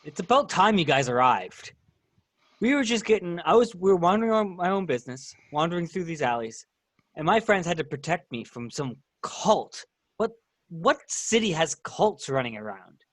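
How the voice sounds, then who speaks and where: A man voicing an indignant young male adventurer who complains in a hurried way. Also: a little high, big pitch swings, fairly bright tone, no echo.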